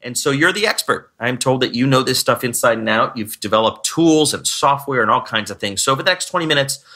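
A man hosting a podcast on recruiting, energetic in his intro and loose and chatty when asking questions. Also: medium pitch, fairly quick. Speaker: podcast host. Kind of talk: podcast interview.

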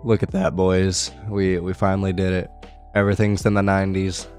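A young man with a raspy, hoarse voice from being ill, chatting casually over his gameplay and swearing now and then. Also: deep voice, completely flat delivery, normal speed, darkish tone, soft background music. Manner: laid-back, swears casually. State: hoarse from illness. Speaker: gaming video creator.

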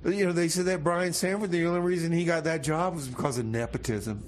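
A man hosting a community radio show with casual, deadpan comic banter, often repeating his phrases. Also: a little high, brisk, soft background music. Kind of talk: casual community radio comedy banter, song back-announcing.

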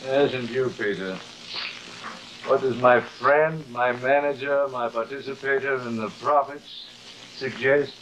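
An actor playing a proud, guilt-ridden middle-aged thespian in theatrical, halting 1950s television drama style. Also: male voice, medium pitch, a bit bright, dull audio, lightly acted. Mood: proud, anguished. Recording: noisy old 1950s television soundtrack.

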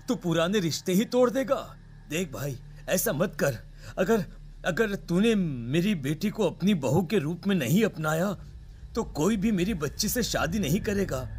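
A man's voice: sits high, expressive, unhurried, a little hiss or hum. A man voicing a village father in a cartoon drama, pleading and reproachful about his daughter.

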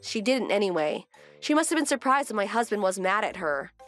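A woman's voice: medium pitch, big pitch swings, a little fast, a bit bright, soft background music. A woman voicing a fed-up young wife who narrates her family grievances in a conversational, pointed way for a manga dub. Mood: matter-of-fact, smug.

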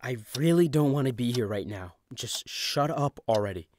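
A man's voice: medium pitch, lively intonation, moderate pace, darkish tone, reverberant, a little hiss or hum, lightly acted. A man playing a wimpy, bookish and hesitant teenage boy in an amateur comic dub. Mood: annoyed.

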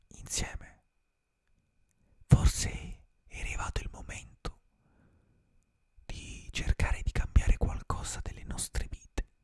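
Young man whispering a slow fairy tale. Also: a little mellow, no room sound. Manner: slow unvoiced whisper, drawn-out pauses. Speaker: ASMR streamer. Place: small dim room with colored string lights. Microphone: close studio mic, earphones.

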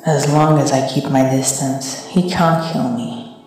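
Woman acting a suspicious cartoon doctor's thoughts, very low voice, strong echo, guarded. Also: a little slow, a little mellow. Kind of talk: comic dub inner monologue. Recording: heavy echo, faint music behind.

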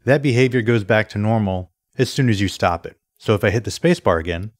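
A man presenting an instructional software screencast in a methodical, step-by-step way. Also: lowish voice, fast, dry recording, clean studio sound. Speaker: tutorial presenter.

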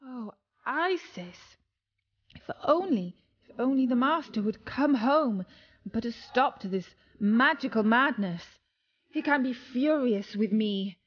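An exasperated young sorcerer's apprentice, played by a woman storyteller, petulant and desperate. The voice is high and dramatic, as in a children's storybook, on a slightly dull recording with music. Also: lively intonation, slow. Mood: desperate pleading. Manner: shrill outbursts, stammering threats, dramatic pleading. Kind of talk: children's storybook character reading.

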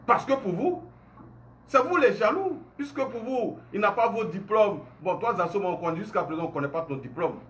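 A man's voice: high-pitched, lively intonation, moderate pace, a bit bright, a little hiss or hum. A man in his forties with an Ivorian French accent, speaking to camera at home in an impassioned monologue full of rhetorical questions. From Côte d'Ivoire. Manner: indignant, emphatic, rhetorical questions, gestures a lot. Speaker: online commentator. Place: home room indoors.

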